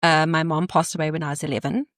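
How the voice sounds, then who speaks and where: A woman's voice: sits low, fairly even intonation, quick pace, no room sound, clean studio sound. A woman in her thirties, a podcast guest, telling candid stories in conversation with playful asides and easy laughter.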